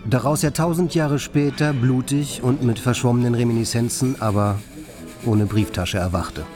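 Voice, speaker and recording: A man's voice: mid-range voice, moderate pace, music in the background, natural voice. A male actor plays an ironic observer narrating a literary radio play. His mid-range voice is articulate and theatrical, with a pointed, satirical edge. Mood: dry irony.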